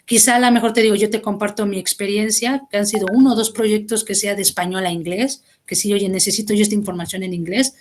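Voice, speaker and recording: A woman's voice: mid-range voice, steady tone, fast, hardly any pauses, a little mellow. A Mexican woman, a guest translator, talking through her experience with thoughtful explanations and small restarts over a video call. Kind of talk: conversational class interview.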